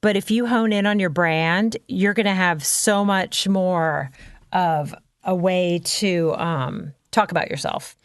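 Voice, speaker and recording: A woman's voice: on the low side, slow, clean tone, no room sound, studio quality. A woman brand consultant coaching in a casual chat, talking freely with frequent ums and you-knows.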